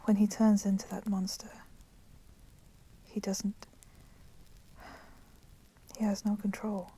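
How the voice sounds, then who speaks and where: A woman playing a polite but menacing vampire in a whispered ASMR roleplay, speaking softly and formally. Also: medium pitch, flat delivery. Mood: earnest, reproachful. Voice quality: breathy, soft, often whispering. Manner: slow, deliberate, formally courteous. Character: polite, menacing female vampire, proud and merciful.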